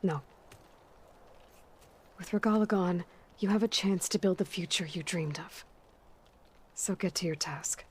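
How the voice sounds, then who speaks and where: A woman's voice: on the low side, a little slow, pauses often, breathy edge. Blunt, defiant young American warrior woman. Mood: firm, reserved. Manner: blunt, assertive. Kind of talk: video game cutscene acting.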